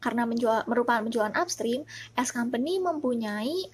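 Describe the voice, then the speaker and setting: A young Indonesian woman tutor with a fairly high voice that has a husky, unclear edge. She explains step by step in a methodical lecture style, slipping English technical terms into her sentences. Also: expressive, brisk. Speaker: accounting tutor. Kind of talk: instructional lecture, semi-scripted explanation.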